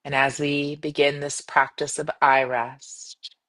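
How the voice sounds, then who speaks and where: A woman leading a guided meditation in a gentle, soothing manner, speaking in measured phrases with long pauses. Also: low voice, level tone. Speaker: yoga nidra meditation guide.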